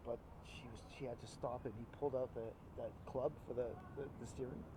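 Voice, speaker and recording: A man's voice: medium pitch, little pitch movement, a little fast, dark tone, low-quality recording. A middle-aged man chatting on the street, rambling along in choppy phrases. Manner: rambling, talkative, choppy phrases, often ends on "you know". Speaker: passer-by who runs a moving business. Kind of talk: casual street chat. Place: outdoors by a street.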